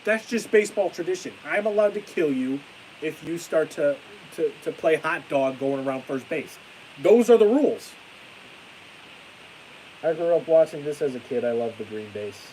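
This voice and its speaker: A young man chatting on a live stream with a stuffy nose and a fading voice, ranting excitably and then trailing off into tired mumbles. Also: fairly high pitch, moderate pace, low-quality recording. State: stuffy-nosed, losing his voice. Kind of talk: casual live stream chat. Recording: hissy home stream mic.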